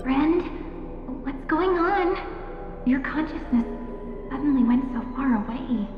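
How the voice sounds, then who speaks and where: Cute young game sidekick: high, concerned, muffled in long reverb. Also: female voice, animated, takes it slowly. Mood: worried. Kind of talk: video game voice acting. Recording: muffled, distant reverb under game music.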